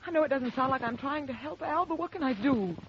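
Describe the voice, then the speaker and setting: A woman's voice: sits high, fast, natural voice. A woman acting a cool, brittle, desperate widow in an old radio drama, with a guarded, haughty, theatrical delivery. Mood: agitated. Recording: muffled, noisy vintage radio recording.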